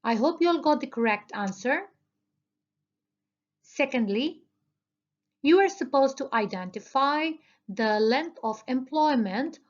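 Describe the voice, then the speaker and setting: A fairly high-voiced woman teacher reviews listening answers at a very slow, careful pace. She speaks directly to her students. The recording is dull and lo-fi. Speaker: English teacher.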